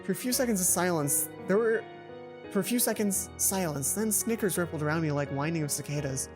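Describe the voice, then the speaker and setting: A woman's voice: on the low side, animated, brisk, music in the background. A woman hosting a let's play, reading a visual novel aloud with casual, playful asides. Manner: playful asides, self-correcting.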